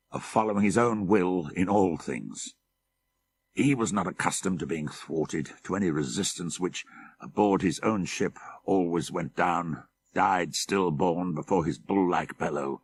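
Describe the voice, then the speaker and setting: A man reading a horror story as an audiobook narrator, measured and deliberate, with dramatic emphasis. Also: sits low, husky.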